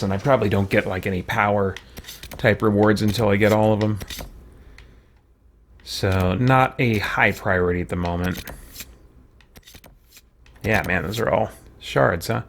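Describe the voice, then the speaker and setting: A man's voice: fairly low pitch, a little hiss or hum. A man giving casual let's play commentary, thinking aloud and muttering to himself as he goes, often repeating words. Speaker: gamer hosting a let's play.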